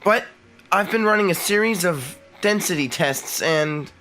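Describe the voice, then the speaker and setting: A man's voice: high-pitched, animated, measured pace, sharp tone, heavily acted, poor audio. A man voicing a shy, nerdy teenage boy who hesitates and trails off. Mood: timid. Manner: hesitant and mumbling. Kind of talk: fan dub voice acting.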